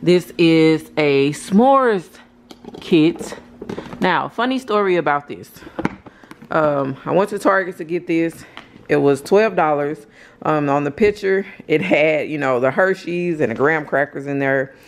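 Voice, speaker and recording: A woman's voice: low voice, animated, a little slow. An American woman in her thirties casually showing off a shopping haul from behind a handheld camera at home. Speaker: vlogger. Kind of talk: casual haul show-and-tell. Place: indoors at home. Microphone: handheld camera, speaker behind it. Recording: clear background noise and handling clicks.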